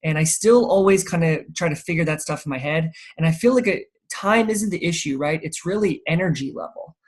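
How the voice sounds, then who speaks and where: A man's voice: a little high, brisk, breathy edge. A man in his thirties from South Carolina with an American accent and Swiss German as first language, chatting casually as an interview guest. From Charleston, South Carolina, United States. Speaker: interview guest, physical therapist and entrepreneur.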